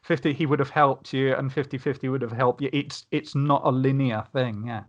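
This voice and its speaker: A man's voice: medium pitch, fairly even intonation, brisk, highs cut off. A man on a podcast panel chatting casually and explaining things, rephrasing as he goes. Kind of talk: casual chat about gaming.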